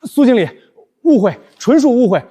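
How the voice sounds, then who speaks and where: An actor in his twenties playing a flustered, glib young office worker in an animated short drama, over-explaining in corporate buzzwords. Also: extremely high pitch, normal speed, slightly rough, heavily acted. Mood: glib, defensive. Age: 20s.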